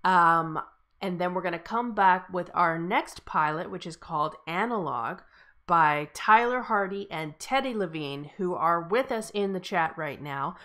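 A woman's voice: fairly low pitch, expressive, unhurried, bright, forceful tone. A woman casually hosting a live online stream, addressing the participants and those watching. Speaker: host of an online table read.

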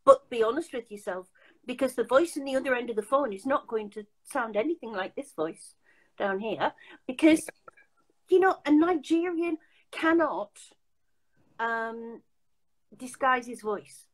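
An older woman hosts a casual video call from home, her voice sitting fairly high. She talks emphatically, often restarting her sentences as she presses a point. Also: expressive, a little slow, long pauses, poor audio. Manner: chatty, emphatic, many restarts. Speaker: host. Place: home room.

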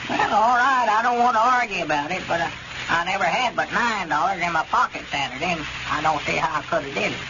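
An actor playing a muddled, folksy old storekeeper with a rural Southern drawl in old radio comedy. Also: male voice, high voice, fast, natural voice. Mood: protesting, puzzled. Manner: rustic ungrammatical drawl, easily confused. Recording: muffled, noisy old radio recording.

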